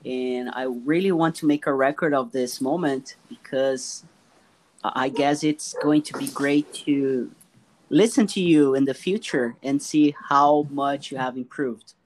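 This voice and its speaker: A man hosts a relaxed interview, praising his guest and asking questions. His voice has an ordinary middle pitch, and he restarts his sentences with a few repeated words. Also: measured pace, rough recording. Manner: friendly, encouraging, repeats words as he starts questions. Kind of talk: casual interview.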